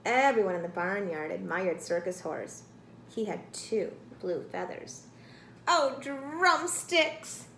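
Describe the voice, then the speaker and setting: A woman's voice: fairly low pitch, measured pace, creaky. A middle-aged woman reading a storybook aloud to children expressively, recorded in a small room. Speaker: storybook reader. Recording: roomy, some background noise.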